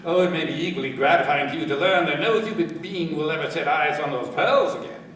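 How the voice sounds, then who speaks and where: Man acting a police inspector, radio play, measured, dryly ironic, highish voice, distant echoing recording. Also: fairly bright tone, lightly acted. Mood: dryly triumphant. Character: composed, dryly triumphant male police inspector. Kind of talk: radio crime drama acting. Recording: very echoey, distant, faint noise.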